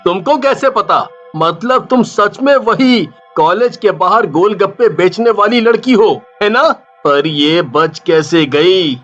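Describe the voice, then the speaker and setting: Man as a sharp-voiced, sneaky villainous vendor. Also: high-pitched, slow, highs cut off, faint music behind, voice pushed up. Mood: suspicious, defiant. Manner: suspicious, threatening. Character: sneaky villainous male snack seller, defiant bully.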